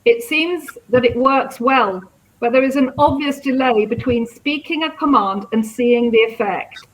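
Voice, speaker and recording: A woman's voice: fairly high pitch, measured pace, rough recording. A woman moderating a live question-and-answer session, improvising and checking as she goes.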